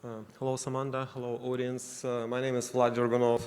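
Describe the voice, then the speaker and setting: A man with a Ukrainian accent speaks haltingly and leans on fillers and simple phrasing. His voice sits at an ordinary pitch. It carries a long reverb off a large conference hall. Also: completely flat delivery, darkish tone. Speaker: audience member asking a question. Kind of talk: halting, unscripted question from the floor.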